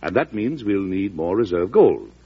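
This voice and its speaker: An actor playing a resolute, worried U.S. President in an emphatic, oratorical old-time radio drama style. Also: male voice, low-pitched, sing-song, normal speed, breathy edge, heavily acted. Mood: grave, concerned. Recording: muffled old radio recording.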